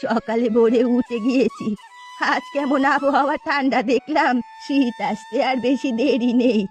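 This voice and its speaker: A woman's voice: sits high, fairly even intonation, moderate pace, lightly acted. A woman voicing a frail, plaintive old beggar aunt in a cartoon. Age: older. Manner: plaintive, complaining. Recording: music under the voice.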